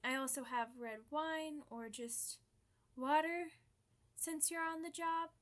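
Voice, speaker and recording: A woman's voice: high voice, slow pace, fairly bright tone, pitched above the performer's own voice. A woman voicing a chatty, playful, theatrical old vampire in an ASMR roleplay, full of mock-grand asides. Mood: chatty, gracious.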